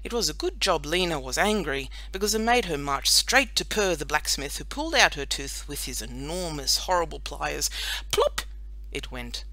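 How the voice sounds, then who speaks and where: A woman narrating a children's audiobook in an expressive, scripted storytelling style. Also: low-pitched, moderate pace.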